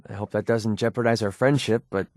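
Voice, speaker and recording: A man's voice: on the low side, steady tone, fairly quick, darkish tone, no echo, studio quality, close to an everyday voice. A man voicing a young, wisecracking mercenary companion in a video game, with an American accent and an informal, sardonic delivery. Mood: sincere, hesitant.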